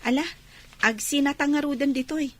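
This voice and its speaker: Very high, friendly village girl played by a woman. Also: fairly even intonation, normal speed, close to an everyday voice. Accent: Ilocano. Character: friendly young female fish vendor, student. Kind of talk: Ilocano radio drama acting.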